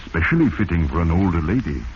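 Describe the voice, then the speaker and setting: An obliging salesman, talks in a deep voice with fussy, eager politeness and small hesitations. The vintage radio drama recording is muffled and faintly noisy. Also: very animated, quick pace. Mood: eager, polite. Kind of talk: 1940s radio drama acting.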